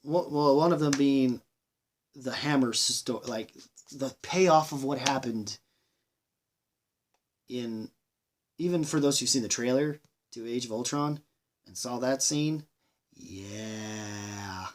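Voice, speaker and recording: A man giving a casual movie review monologue, rambling and halting, with enthusiastic delivery and plenty of swearing. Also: medium pitch, slight background noise. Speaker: film reviewer, channel host.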